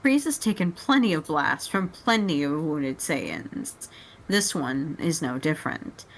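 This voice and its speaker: Lowish-voiced woman narrating a story. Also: animated, unhurried, low-quality recording. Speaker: reader in a group dramatic reading.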